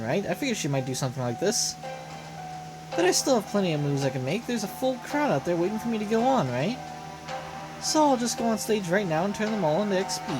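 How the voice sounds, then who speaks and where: A woman voicing a haughty, sarcastic young female villain in an anime game, cool and sardonic but quick to indignation. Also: fairly low pitch, natural voice, rough recording. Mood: smug, cool. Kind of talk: voiced reading of anime game dialogue. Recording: loud game music behind voice.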